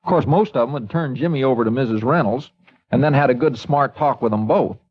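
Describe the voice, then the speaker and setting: A man's voice: medium pitch, brisk, slightly rough, natural voice. Man playing a folksy small-town newspaperman. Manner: easygoing drawl, folksy. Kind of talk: 1950s radio acting. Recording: dull lo-fi old radio broadcast.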